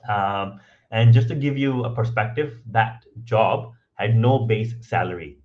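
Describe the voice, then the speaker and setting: A man speaking English as a second language, guesting on a podcast and telling his story in a relaxed, conversational way, with many ums and "right?" tags. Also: on the low side, unhurried, dull audio. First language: not English. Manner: frequent ums and restarts, tag questions of "right?".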